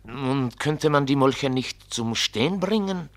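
An actor playing a nagging inner voice of conscience in a radio play, insistent, familiar and questioning. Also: male voice, medium pitch, expressive, slow, fairly bright tone. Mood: hopeful questioning.

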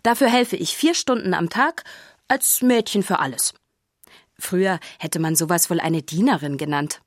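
An easygoing castle maid tells her story played by a woman. Her voice is a little low and very dry, close and confiding, in conversational children's audiobook narration. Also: normal speed, no echo, close to an everyday voice. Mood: chatty. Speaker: first-person narrator.

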